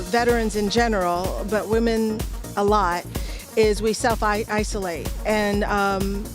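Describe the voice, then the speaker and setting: A middle-aged woman from the Philippines talks slowly and softly, pausing with ums and restarting her sentences. Her voice sits at an ordinary pitch. Music plays clearly behind her. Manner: hesitant, many ums and restarts, soft-spoken. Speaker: guest, veteran and art-program founder. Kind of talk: interview answers.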